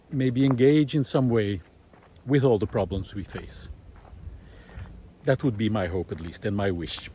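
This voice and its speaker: A man's voice: lowish voice, warm tone, husky. A man thinking aloud earnestly and hesitantly as he walks through a forest, in a reflective, unscripted vlog style. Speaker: vlogger, environmental researcher and former visual artist. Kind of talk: spontaneous spoken musings while walking. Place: outdoors, walking in a forest. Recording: outdoor ambient noise. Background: forest ambience.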